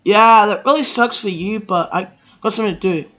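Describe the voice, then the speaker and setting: A man performing a crude, profane, improvised comic anime fandub with halting delivery. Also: high voice, sharp tone. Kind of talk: comedic improvised anime parody dub.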